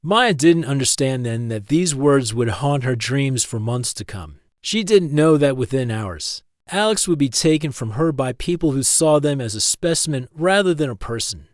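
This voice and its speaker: A man's voice: mid-range voice, expressive, moderate pace, hardly any pauses, dry recording, studio quality. A man reading a scripted story as an audiobook-style narrator.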